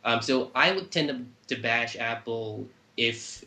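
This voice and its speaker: A man's voice: mid-range voice, takes it slowly, bright, forceful tone, echoey room. A man from Malaysia, a guest in a casual podcast chat, easygoing and hesitant, often repeating words.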